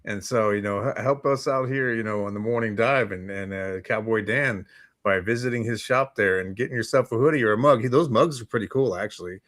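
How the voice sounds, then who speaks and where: A man co-hosting a casual morning talk show, speaking in an informal, rambling way with halting phrasing. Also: on the low side, a bit bright.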